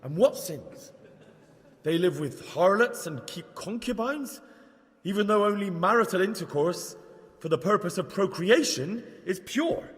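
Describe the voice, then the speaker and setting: A man playing an earnest, unsure young layman who preaches in a declamatory but halting way in a video game. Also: high voice, animated. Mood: indignant, flustered. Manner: declamatory but halting, stammering when flustered. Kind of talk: video game acting, improvised sermon. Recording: reverberant church hall.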